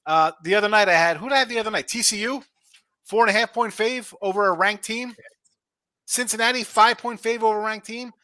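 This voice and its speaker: A man co-hosting a sports betting show, giving emphatic, animated opinions in casual chat. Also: high voice, fairly quick, no echo, studio quality.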